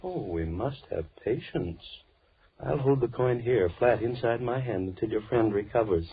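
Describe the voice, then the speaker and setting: A man's voice: medium pitch, animated, moderate pace, a little hiss or hum, close to an everyday voice. An actor playing a mad, sinister man in an old-time radio horror drama, speaking with unctuous, mock-tender menace and repeating his words. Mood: mock pity. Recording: tinny, band-limited old radio recording, background voices.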